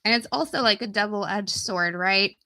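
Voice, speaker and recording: Lady chatting on a livestream, bright voice thats starting to go from a cold, super dry close sound, folksy. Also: mid-range voice, normal speed, no room sound. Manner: informal, folksy, addressing viewers directly. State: getting sick, losing her voice. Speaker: online coach and live stream host. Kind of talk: casual live stream chat and sales pitch.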